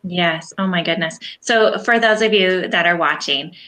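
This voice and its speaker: A woman's voice: fairly low pitch, normal speed, fairly bright tone. A woman hosting a live interview, enthusiastic and encouraging toward her guest. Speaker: host.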